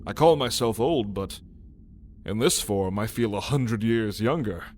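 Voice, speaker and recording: Man as slow-talking, wry dragon turned human. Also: on the low side, animated, darkish tone, a little hiss or hum. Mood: wry, playful. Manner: wry, theatrical, unhurried. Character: big old male dragon in new human form, playful, blunt. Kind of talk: ASMR roleplay storytelling.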